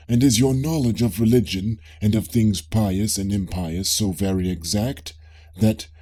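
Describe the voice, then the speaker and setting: Man as Socrates, low, husky, inquisitive philosopher. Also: dark tone. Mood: astonished, probing. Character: Socrates, inquisitive, mock-humble ancient Greek philosopher. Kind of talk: dramatic audiobook reading of a philosophical dialogue.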